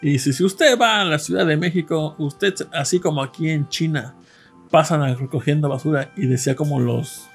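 A man co-hosting a podcast with a Mexican Spanish accent, telling rambling stories with many pauses and fillers. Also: a little high, gravelly, slightly rough, soft background music. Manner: rambling storyteller, hesitant fillers. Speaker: podcast co-host. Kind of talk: casual chat.

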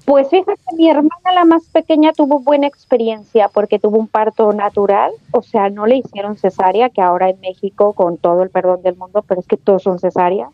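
A Mexican woman guest talking in a chatty, animated way, with colloquial slang. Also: medium pitch, brisk, few pauses, no echo, highs cut off, faint music behind. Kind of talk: casual podcast conversation.

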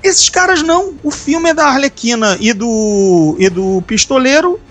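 A podcast host and novelist with a Brazilian accent, chatting casually, animated and quick to swear, often restarting sentences. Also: high-pitched. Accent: Brazilian Portuguese. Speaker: author of a novel.

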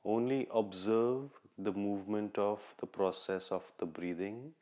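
A man leading a guided meditation in short, repeated instructional phrases, measured and with long pauses. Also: lowish voice, fairly even intonation, mellow. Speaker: meditation guide, podcast host.

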